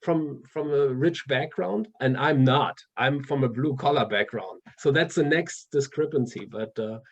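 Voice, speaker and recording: A man with a German accent talks in a relaxed interview, and he often stumbles over his words and restarts. His voice sits at a middle pitch. The audio comes through dull and slightly muffled. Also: a little slow. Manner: hesitant, many restarts and fillers, laughs often. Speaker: author guest. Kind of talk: casual interview chat.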